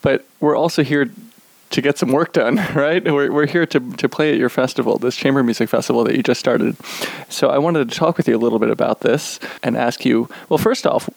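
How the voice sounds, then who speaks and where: A man's voice: medium pitch, a little fast, vocal fry, no echo, rough recording. A man hosting an interview, asking friendly, curious questions in a home living room. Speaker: podcast host and musician.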